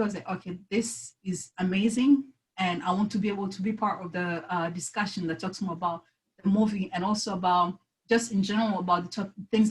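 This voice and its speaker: A woman's voice: mid-range voice, poor audio. Young Congolese-born woman, searching phrasing. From Democratic Republic of the Congo. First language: French. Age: early 20s. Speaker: panelist, university student. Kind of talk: personal account in an online panel discussion.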